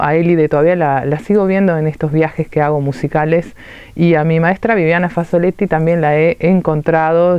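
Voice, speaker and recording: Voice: medium pitch, clean tone, dry recording, muffled recording. Musician reminiscing with a Rioplatense accent. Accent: Rioplatense Spanish. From Uruguay, raised in Ticino, Switzerland. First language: Italian. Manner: reminiscing, fond, with small restarts. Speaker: musician interviewee. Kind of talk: personal recollection in an interview.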